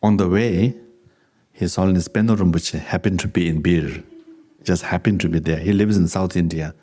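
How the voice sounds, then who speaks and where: Low, mellow-voiced man teaching with an accent. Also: steady tone. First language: Tibetan. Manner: unhurried, conversational, chuckles while telling anecdotes. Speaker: Buddhist teacher. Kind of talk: dharma talk with question and answer.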